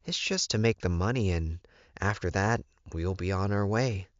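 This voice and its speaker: A man's voice: low voice, moderate pace, no echo, highs cut off, natural voice. A man voicing a modest, polite young woman, an earnest werewolf heroine in an audiobook.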